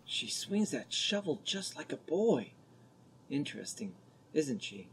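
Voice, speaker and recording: A man acting an eager, lonely teenage boy in an amateur radio drama, boyish and husky. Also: fairly high pitch, very animated, unhurried, a little hiss or hum.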